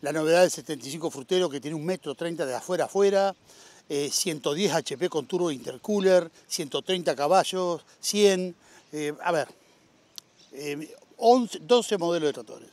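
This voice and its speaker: A man's voice: on the high side, normal speed, a little mellow. A middle-aged man with a Uruguayan Spanish accent, a machinery dealer promoting his tractors outdoors at a farm fair, with lots of "este" fillers. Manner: upbeat salesman, filler-heavy with frequent "este". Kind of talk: casual outdoor interview.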